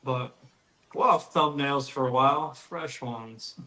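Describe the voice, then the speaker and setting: A tired man with a mid-range voice co-hosts a live stream in relaxed, casual chat. He is easygoing and rambles, often calling people "dude.". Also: mid-range voice, slow pace, roomy sound, rough recording.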